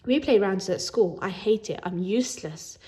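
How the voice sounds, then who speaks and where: Female children's story reader, medium-pitched, animated read-aloud delivery. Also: moderate pace. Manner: animated, acts out dialogue.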